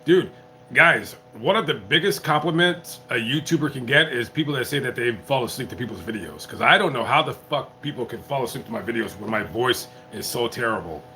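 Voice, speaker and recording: A man with a bright, forceful voice chats loosely to his viewers on a livestream, a little tipsy from drinking. He rambles, stops and restarts his sentences, and thinks out loud. A faint noise hangs behind him. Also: medium pitch, lively intonation, a little fast. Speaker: YouTuber live-streamer. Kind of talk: casual livestream chat.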